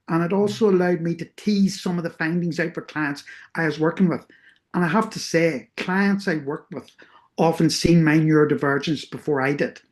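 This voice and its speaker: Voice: medium pitch, darkish tone. Earnest Northern Irish presenter. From Northern Ireland. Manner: earnest, explanatory, self-correcting. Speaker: therapist presenting doctoral research. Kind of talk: academic workshop presentation.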